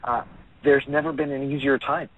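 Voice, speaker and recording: An upbeat, energetic American man speaking conversationally as a guest in a radio interview. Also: medium pitch, normal speed, phone-quality sound. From Miami, United States. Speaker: entrepreneur and author, show guest.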